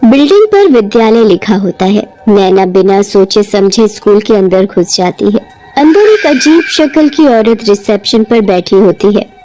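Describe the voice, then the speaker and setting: A woman narrating an animated children's story in a lively storytelling manner. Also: mid-range voice, a little slow, faint music behind, poor audio. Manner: animated, shifts into character voices.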